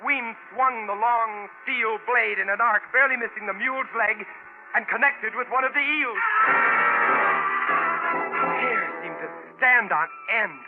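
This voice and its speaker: A male actor playing a tense stranded adventurer, telling his jungle ordeal in a dramatic, deliberate old-time radio drama style. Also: very high voice. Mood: breathless dread. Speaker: actor narrating and playing lead. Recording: tinny vintage radio broadcast with music behind.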